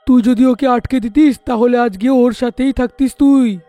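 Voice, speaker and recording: A man playing a distraught village man in an animated horror story, speaking in a dramatic, lamenting way. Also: very high voice, normal speed, dry recording. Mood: grave, concerned.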